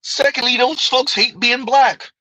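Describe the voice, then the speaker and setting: A man's voice: very high voice, measured pace, bright, forceful tone, no room sound, rough recording. A man hosting a live stream, reading viewer comments aloud and answering them in loose, conversational commentary that keeps circling back with "right?" and frequent laughter.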